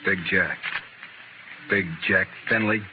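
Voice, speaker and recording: A dangerous, ailing gentleman gunfighter, American, with a lowish voice and dry, polite sarcasm. It comes from an old radio western, thin and phone-like under heavy noise. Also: level tone, slow. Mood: wry, friendly. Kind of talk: 1950s radio western acting.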